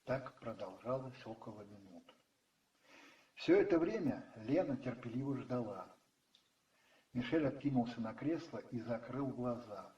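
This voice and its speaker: A man narrating a radio play dramatically from a script, in measured phrases with pauses. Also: mid-range voice, lively intonation, dark tone, lo-fi sound. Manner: measured, pausing between phrases. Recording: roomy echo, distant, faint music behind.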